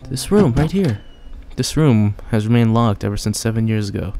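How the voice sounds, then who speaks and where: A man's voice: fairly low pitch, lively intonation, normal speed, gravelly, dark tone. A young man playing a game casually, reading its text aloud and joking about it. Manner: chatty, reads text then jokes about it. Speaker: gamer.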